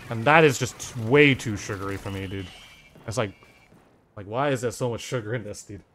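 A man's voice: mid-range voice, fairly bright tone, slight background noise. A man with an English accent chatting casually with his viewers while he plays a game, answering their questions and often calling them "dude". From England. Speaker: game streamer. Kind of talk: casual live-stream chat while gaming.

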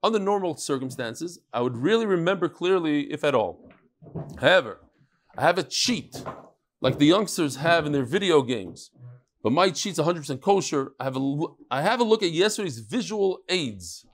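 A middle-aged man teaching a daily Talmud class, reading emails aloud with lively asides to the room and Hebrew and Yiddish terms. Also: fairly high pitch, moderate pace. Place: large study hall with wood paneling.

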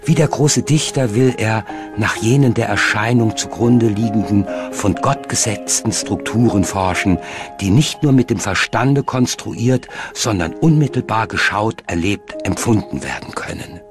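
A man narrating a documentary from a script in a measured, explanatory manner. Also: fairly low pitch, animated, over music.

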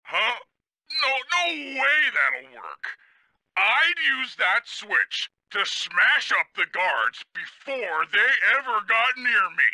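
An actor playing a big, dim, gentle hippo sidekick in a video game, simple and childlike. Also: male voice, high voice, big pitch swings, takes it slowly, no room sound, exaggerated voice, low-quality recording. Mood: simple wonder.

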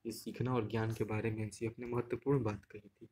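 A man's voice: on the low side, flat delivery, fast talker, a little mellow, slight background noise. Man teaching an exam-prep lesson. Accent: Hindi. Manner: explanatory, repeats points, checks with "ठीक". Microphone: screen recording, unseen speaker.

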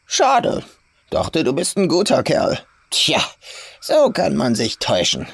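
Man putting on a sinister, folksy old woman, pitched up. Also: wildly swooping pitch, slow pace, creaky, husky, no echo, full character voice. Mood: mock-regretful, menacing. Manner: folksy, clipped sentences with dropped pronouns. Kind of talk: audiobook horror story character.

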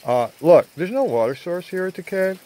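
An older man narrating a rambling video outdoors among trees, talking in a halting way with many fillers, recorded on a handheld phone. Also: high voice, expressive, mellow. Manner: slow, halting, full of uh fillers. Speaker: vlogger. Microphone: phone at arm's length.

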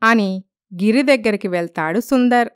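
A woman's voice: fairly high pitch, moderate pace, clear, resonant tone, no echo, clean studio sound. A woman reading scripted narration as a storyteller.

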